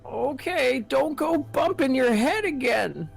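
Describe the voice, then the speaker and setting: A woman puts on a sweet older lady, high and swooping. She fusses slowly and dotingly over her listener in a live script reading, with a creaky edge and music underneath. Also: animated, full character voice.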